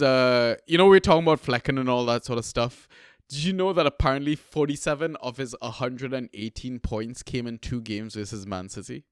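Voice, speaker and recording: A man's voice: medium pitch, smooth, dry recording, clean studio sound. A young man from Trinidad co-hosting a podcast, telling stories in a laid-back, swear-heavy way with many fillers. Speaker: podcast co-host. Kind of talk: casual chat, storytelling.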